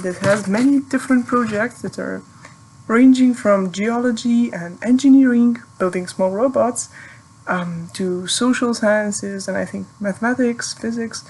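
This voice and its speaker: A woman's voice: mid-range voice, vocal fry, a little hiss or hum, low-quality recording. A young woman from Serbia, a PhD student whose first language is Serbian, giving friendly, thoughtful advice in English in a recorded video message. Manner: friendly, thoughtful, with light laughs.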